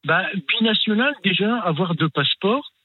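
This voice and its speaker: A man's voice: high voice, expressive, moderate pace. An older man from France calling in to a radio talk show, speaking hesitantly with many fillers and restarts. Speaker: caller. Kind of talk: radio call-in. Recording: tinny phone line.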